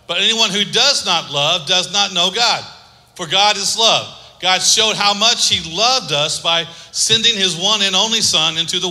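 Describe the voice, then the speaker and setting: A man's voice: high voice, bright, forceful tone, in a large hall. A man preaching a sermon, asking the congregation to repeat words and joking between points. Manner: preaching with call-and-response and humor. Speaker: pastor.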